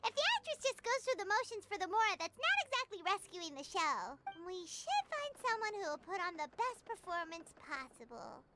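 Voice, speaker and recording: An actress voicing a tiny, chirpy female fairy companion with a high, bubbly voice in a game cutscene. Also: big pitch swings, fairly quick, few pauses, very noisy. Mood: eager. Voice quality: high, bubbly, third-person speech. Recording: game audio with loud music.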